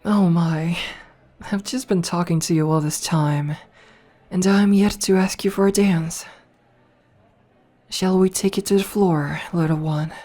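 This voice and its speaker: A haughty noble vampire princess, voiced by a woman, purrs her teasing, condescending lines in a low, mellow voice. She takes her time, hushed and breathy. Faint music plays behind her. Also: steady tone, a little slow. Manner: teasing, condescending, softly purring. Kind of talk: ASMR audio roleplay.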